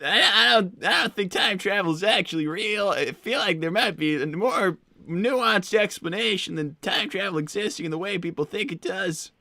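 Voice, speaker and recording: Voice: mid-range voice, normal speed, few pauses, bright, forceful tone, no room sound. A performer voicing a nervous, awkward young boy fox who stammers and rambles earnestly in improvised cartoon roleplay.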